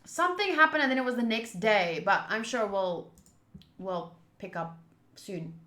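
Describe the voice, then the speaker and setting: A woman's voice: mid-range voice, expressive, unhurried, pushed and bright, roomy sound. A woman streaming a visual novel playthrough, talking informally and thinking aloud or reading the game's text. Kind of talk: casual livestream chat and reading game text aloud.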